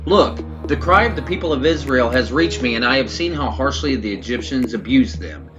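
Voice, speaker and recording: A man's voice: mid-range voice, expressive, a little fast, dull audio, natural voice. Man voicing solemn commanding God over music. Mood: solemn, declarative. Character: commanding male God speaking from a burning bush. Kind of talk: scripted scripture reading. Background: prominent background music.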